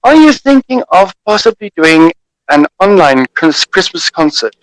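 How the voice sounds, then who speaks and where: A South African man with an Afrikaans accent hosts a radio interview, pausing often with fillers. His voice sits fairly high, and the sound is dry, like a video call. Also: sing-song, no echo, low-quality recording. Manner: warm, hesitant, with many fillers. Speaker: radio host.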